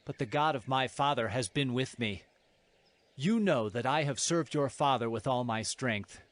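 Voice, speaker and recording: A man's voice: medium pitch, expressive, normal speed, lightly acted. Male actor plays earnest patriarch-herdsman, scripture drama. Average register, declamatory, aggrieved.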